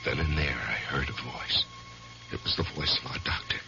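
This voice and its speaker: An actor playing an earnest, hesitant young married lawyer haunted by voices, in an old radio drama. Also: male voice, rumbling low, moderate pace, over music. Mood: haunted, grave. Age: young adult. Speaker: lead actor and first-person narrator. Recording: slightly noisy dull 1970s radio recording.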